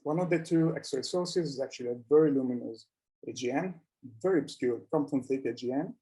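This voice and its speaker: Male scientist presenting findings: soft-edged dark tone, average pitch, somewhat hesitant phrasing. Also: a little fast, rough recording. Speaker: researcher presenting at a conference.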